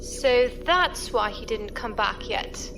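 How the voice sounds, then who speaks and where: Inquisitive female crew newcomer, high voice, unhurried pace, uneasy, sci-fi audio drama. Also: animated, creaky, over music, exaggerated voice. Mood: curious. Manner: questioning, informal. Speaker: voice actor. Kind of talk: science fiction audio drama dialogue.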